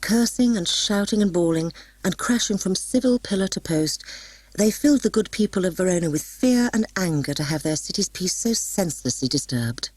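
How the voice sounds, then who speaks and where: A woman reading a scripted storybook as an audiobook narrator, in a husky voice with dramatic, expressive delivery. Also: fairly low pitch, normal speed, no echo.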